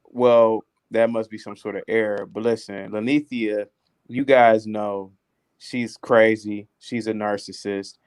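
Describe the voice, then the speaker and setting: A man's voice: lowish voice, steady tone, a little slow, a little mellow, clean tone, dry recording, lightly acted. A man calling in and posing as a reality star, insisting and talking over the host in a dry, stiff way. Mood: insistent. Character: male caller faking a celebrity, stiff and dry. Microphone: call-in audio.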